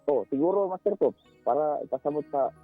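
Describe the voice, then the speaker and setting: A man with a Cebuano accent calling in to a radio show, giving casual advice in an informal, halting way. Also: a little high, expressive. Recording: tinny phone line, music underneath. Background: background music.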